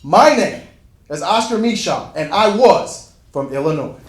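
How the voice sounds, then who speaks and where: Man acting as proud pioneering filmmaker, high voice, formal measured monologue, echoey live room. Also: lively intonation, very noisy. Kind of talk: first-person historical monologue, read aloud. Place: indoor room at a live reading event.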